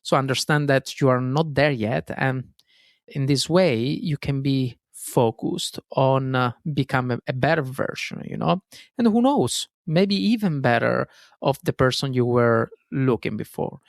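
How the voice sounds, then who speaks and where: A man with an Italian accent speaks alone as a podcast host, with a gravelly edge to his voice. He talks earnestly, often stops to correct himself, and keeps the listener close with casual fillers. The sound is dry and close, with no room echo. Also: medium pitch, animated, measured pace. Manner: earnest, self-correcting, frequent fillers and "guys". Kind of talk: solo self-help podcast monologue.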